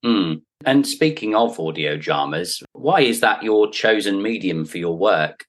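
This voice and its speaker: Guy hosting a podcast interview, pretty deep voice, chatty questions. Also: lively intonation, measured pace. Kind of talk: conversational interviewing.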